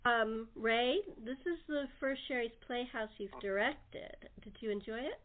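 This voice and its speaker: A woman's voice: fairly high pitch, a little slow. A woman hosting a casual interview, speaking in an encouraging, agreeable way. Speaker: host and producer. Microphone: telephone or internet call. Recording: thin phone-line sound.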